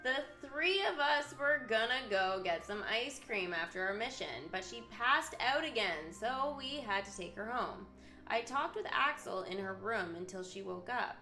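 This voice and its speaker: A woman's voice: a little high, expressive, a little slow, pushed and bright. A woman streaming a video game, switching between live commentary and reading story text aloud. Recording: roomy echo, game music behind. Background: video game music.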